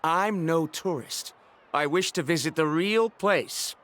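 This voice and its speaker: Casting: resolute, polite young traveler in a fantasy game, slightly high voice, formal phrasing. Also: male voice, slow pace, a bit bright. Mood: resolute, pleading. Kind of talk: video game voice acting.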